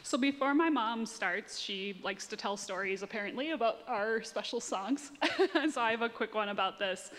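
A woman's voice: a little high, expressive, normal speed, a bit bright, a little hiss or hum. A woman in her thirties telling a personal story to a congregation in a candid, informal way. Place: church sanctuary, standing. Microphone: handheld microphone. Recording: reverberant church hall.

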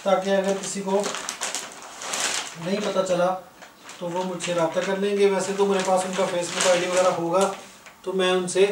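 A man with a Pakistani accent giving an informal, explanatory product demonstration as a shop seller. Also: high voice. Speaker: shop seller, video host. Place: indoor room, goods spread on floor. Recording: roomy, distant mic, faint noise.

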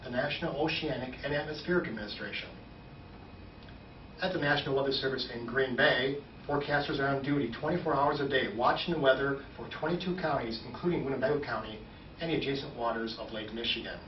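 Distant, echoey man giving a formal presentation. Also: medium pitch. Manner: measured, formal delivery. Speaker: government weather service spokesman. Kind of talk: scripted informational presentation. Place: small indoor operations room, standing at a podium. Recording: roomy, slightly dull, distant, faint noise.

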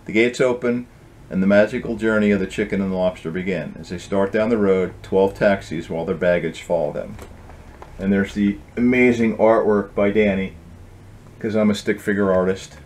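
Low-voiced middle-aged man reading his book. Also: steady tone, normal speed, a little mellow. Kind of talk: storybook reading with casual introduction. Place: indoor home office.

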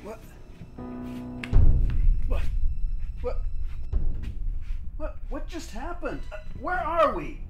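A man's voice: very high voice, big pitch swings, drawn-out words, pauses often, over loud music, heavily acted. A middle-aged man playing a bewildered ordinary husband, hesitant and stammering, in a film drama. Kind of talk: amateur film drama acting.

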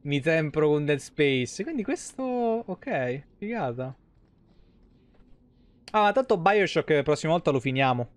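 A man with a fairly high voice and a Roman accent chats through a live game stream. He thinks aloud in colloquial, salty language. The sound is dry and close to the microphone. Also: very animated, measured pace, no echo. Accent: central Italian, Roman. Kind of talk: casual live gameplay commentary. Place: indoor streaming setup at home.

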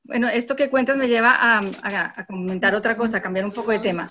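A woman in her forties with a Colombian accent talks fast in a slightly high voice. She is a journalist in a conversational panel, speaking over a video call. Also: fairly even intonation, pushed and bright. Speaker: journalist, foreign correspondent. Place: indoor room, on a video call.

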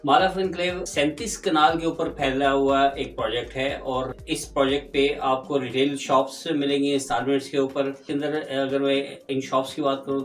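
A middle-aged man with a Pakistani Urdu accent, pitching a property project to camera in an explanatory way, filling pauses with "uh". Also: echoey room, recorded from a distance. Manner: explanatory, frequent "uh" fillers. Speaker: real estate agent. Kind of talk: property promotion talk to camera. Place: indoor room before green screen. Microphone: clip-on lapel mic.

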